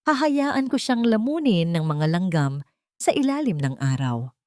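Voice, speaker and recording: A woman's voice: lowish voice, big pitch swings, a little slow, a little mellow, no echo, studio quality. Woman narrator as a harsh, scornful scolding aunt. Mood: cold threat. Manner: scolding, contemptuous.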